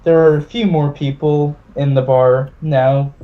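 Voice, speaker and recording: A man narrating a tabletop game as game master, in a halting, deliberate way. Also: on the high side, steady tone, phone-quality sound, slight background noise.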